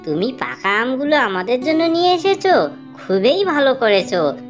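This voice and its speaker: A woman's voice: high-pitched, big pitch swings, normal speed, faint music behind. A woman voicing a kindly, hospitable mother tailorbird in a children's cartoon. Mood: friendly, curious.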